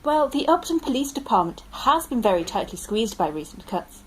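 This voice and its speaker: A chatty village police sergeant, voiced by a woman talks in a breezy, folksy way. The voice sits high and swings widely in pitch. Amateur audio drama. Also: sing-song, a little fast, slight background noise, low-quality recording.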